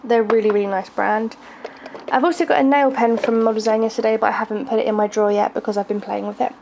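A woman's voice: on the high side, fairly even intonation, fast, vocal fry. A young woman with a groggy voice, casually showing her makeup collection in her bedroom, with plenty of ums and small laughs. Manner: chatty, hesitant, frequent ums and little laughs. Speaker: beauty vlogger. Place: bedroom at home. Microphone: handheld camera filming from close. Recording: noisy home video recording.

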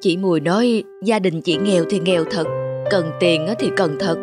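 A woman's voice: on the low side, moderate pace, music in the background, close to an everyday voice. Woman acting an earnest, kindly cartoon mother. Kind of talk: animated moral drama dubbing.

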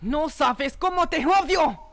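A man's voice: extremely high pitch, expressive, slow pace, fairly bright tone, a little hiss or hum, heavily acted. A man with a Latin American Spanish accent voicing a defiant, emotional teenage boy hero in a video game fan dub. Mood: angry. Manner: emotional, indignant.

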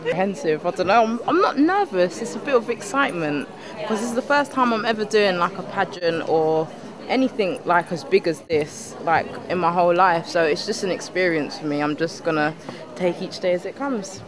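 Casual low-voiced woman contestant. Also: animated, moderate pace. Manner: casual, lots of "like". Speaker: pageant contestant. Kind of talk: interview answers. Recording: crowd chatter behind.

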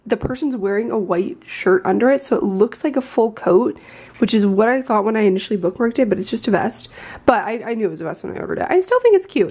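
A woman's voice: a little high, darkish tone. A woman in her twenties chatting casually into her phone camera at home, rambling a little and laughing now and then. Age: 20s. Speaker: vlogger. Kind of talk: casual vlog chat. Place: home bedroom, indoors. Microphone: handheld phone camera.

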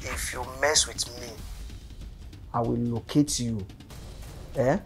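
A man with a Nigerian accent playing a cold, menacing kidnapper who speaks in deliberate threats in a screen drama. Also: medium pitch, fairly even intonation, creaky, faint music behind. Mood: cold menace. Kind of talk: dramatic film acting.

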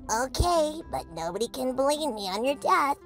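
A woman's voice: high voice, lively intonation, normal speed, faint music behind. A woman voicing a tiny, grumpy male cat spirit with a dramatic, grumbling manner in a comic dub. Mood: dry, nagging.

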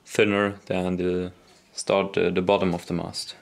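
A man's voice: low voice, little pitch movement, a little slow, vocal fry. Young man explaining his workshop build. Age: young adult. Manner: easygoing, explains steps as he works. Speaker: hobby boatbuilder. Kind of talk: casual workshop vlog narration. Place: garage workshop, indoors. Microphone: action camera.

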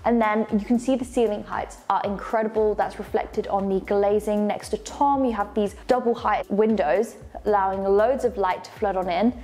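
A woman's voice: mid-range voice, steady tone, moderate pace, hardly any pauses, mellow. A young woman with an enthusiastic, polished delivery, presenting a house tour. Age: young adult. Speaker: presenter of a luxury home tour show. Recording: light background music. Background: faint music.

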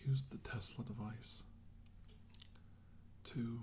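A middle-aged man playing a weary, shaken doctor in a halting, brooding ASMR monologue that often drops toward a whisper. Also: medium pitch, steady tone, lightly acted. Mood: grave, hesitant. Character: weary, shaken male doctor recounting a battle with aliens. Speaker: performer of ASMR roleplay. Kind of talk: slow ASMR roleplay monologue, soft and partly whispered.

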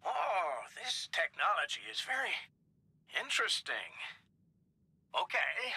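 Eager, mild-mannered game civilian, piercingly bright tone, animated and hesitant. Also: male voice, sits high, slow, husky, dry recording, exaggerated voice. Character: mild, eager-to-please male civilian, unsure with technology.

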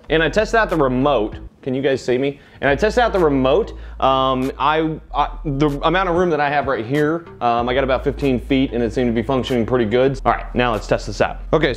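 A man in his thirties hosting a casual tech review, chatty and upbeat, thinking aloud as he tests a speaker in a small studio and a living room. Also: medium pitch. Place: small studio with foam-padded walls, then a living room.

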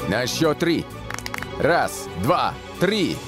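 A man voicing a calm, wise soccer team captain in an animated cartoon dub. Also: a little high, drawn-out words, exaggerated voice. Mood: rallying. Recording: music behind the voice.

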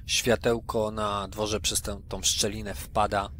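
A man with a fairly low voice hosts a tabletop roleplaying game. He speaks as the game master, presenting and describing for listeners. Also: level tone, measured pace, a bit bright, slight background noise.

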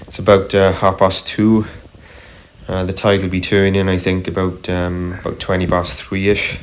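A man narrating casually as he paddles a kayak on a river, unhurried, with frequent ums and uhs. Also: low voice, completely flat delivery. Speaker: kayaker vlogger. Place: kayak on a tidal river outdoors. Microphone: camera mounted on the kayak.

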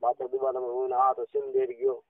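A man with a Rajasthani accent on a casual phone call, explaining in short, halting fragments. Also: mid-range voice, huge pitch swings, gravelly, dry recording. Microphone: telephone. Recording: tinny, narrow phone call recording.

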